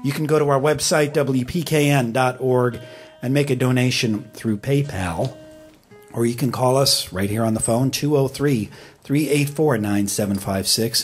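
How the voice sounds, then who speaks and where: A man's voice: medium pitch, normal speed, soft background music. Guy hosting radio, mid-range voice, doing a fund drive announcement. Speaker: radio host. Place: radio broadcast studio.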